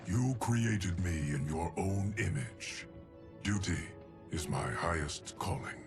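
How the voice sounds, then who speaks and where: Ancient guardian thing, super deep, solemn n formal, game cutscene, music behind. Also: male voice, lively intonation, takes it slowly, exaggerated voice. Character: solemn, dutiful ancient male guardian construct. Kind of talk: scripted video game cutscene acting.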